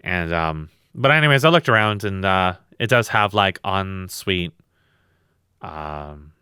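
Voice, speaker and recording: A tired man with a loud voice, co-hosting a podcast in a blunt, rambling, swearing chat. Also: low voice, expressive, takes it slowly, clean tone, no echo, studio quality. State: very tired from lack of sleep. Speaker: podcast co-host.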